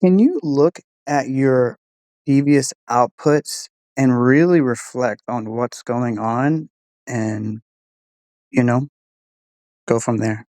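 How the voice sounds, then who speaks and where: A man's voice: medium pitch, takes it slowly, dark tone, dry recording. A man giving casual spoken commentary as he hosts a technology test. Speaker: host running an AI voice test.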